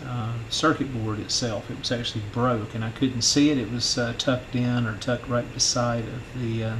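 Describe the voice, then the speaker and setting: A middle-aged man giving an informal hands-on repair walkthrough, halting and unhurried as he thinks aloud. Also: mid-range voice, little pitch movement, background noise. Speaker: host of a radio repair channel. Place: indoor electronics workbench.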